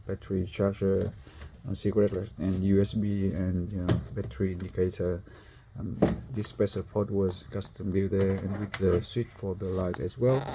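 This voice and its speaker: A man who is not a native English speaker, presenting a car installation job in an informal, halting walkthrough. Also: sits low, background noise. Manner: halting, hesitant phrasing. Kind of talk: informal workshop walkthrough video.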